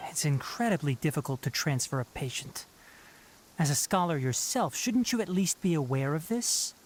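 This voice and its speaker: Man playing a principled young scholar, higher-pitched. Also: expressive, a little hiss or hum, natural voice, poor audio. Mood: guarded. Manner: measured, formal, firm. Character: young, principled male scholar-healer, stubborn and guarded. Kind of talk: video game voice acting.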